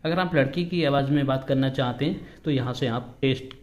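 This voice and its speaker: Man, medium pitch, tutorial host, step-by-step phone instructions, repetitive explaining. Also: a little fast. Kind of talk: instructional phone app walkthrough.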